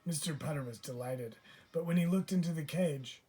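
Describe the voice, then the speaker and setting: A man reading a children's picture book aloud as a teacher would, with measured, deliberate phrasing. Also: fairly high pitch, dark tone, slightly rough. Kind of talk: children's storybook read-aloud.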